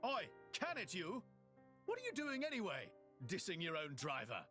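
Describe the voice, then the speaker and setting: Showy exiled prince, British, easily flustered, extremely animated, game cutscene, music behind. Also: male voice, sits high, moderate pace, full character voice. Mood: defensive. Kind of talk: video game cutscene acting.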